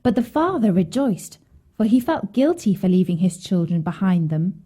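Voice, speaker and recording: A woman's voice: mid-range voice, big pitch swings, moderate pace, mellow. A woman narrating a scripted bedtime fairy tale as a storyteller for children.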